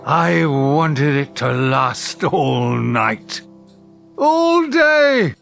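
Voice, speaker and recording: An actor playing a besotted, sentimental man in an audio drama, halting and musing, with breathy exclamations. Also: on the high side, very animated, a bit bright, soft background music, heavily acted. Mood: wistful. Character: besotted sentimental man, aged twenty and reminiscing at eighty.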